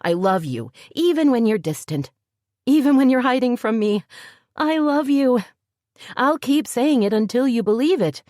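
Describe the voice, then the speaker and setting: A woman's voice: a little high, animated, normal speed, darkish tone, no echo, clean studio sound, pitched above the performer's own voice, close to an everyday voice. A woman voicing an earnest young woman declaring her love, with a tender, pleading delivery.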